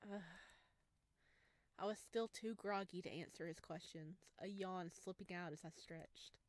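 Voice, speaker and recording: A woman streaming a visual novel, reading narration aloud and reacting in an expressive, gushing way. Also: lowish voice, a little slow, dry recording. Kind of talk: game playthrough with read-aloud narration and excited reactions.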